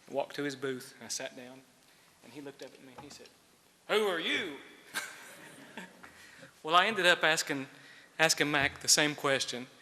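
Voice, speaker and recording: Male, clear-cut bright tone at medium pitch. Tribute speaker in a big reverberant room. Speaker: biographer giving a memorial tribute. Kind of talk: memorial speech with personal anecdote and reading. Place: large hall, speaking to a gathering. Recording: echoey hall with long reverb.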